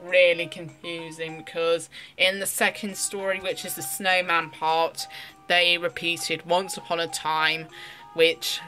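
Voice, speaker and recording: A young adult woman demonstrating a toy off the cuff, explaining as she goes in a halting way. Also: on the low side, little pitch movement, bright, forceful tone, soft background music, rough recording. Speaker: toy reviewer.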